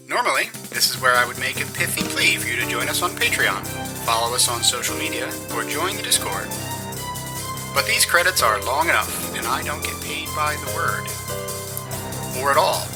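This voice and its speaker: A man reading scripted credits as an announcer, with dry, deadpan humour. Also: medium pitch, normal speed. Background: music.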